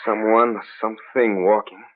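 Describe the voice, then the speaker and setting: An actor playing a calm, practical husband in an old radio horror drama, matter-of-fact and brisk. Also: male voice, lowish voice, close to an everyday voice. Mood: calm, concerned. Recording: thin, phone-like old radio recording.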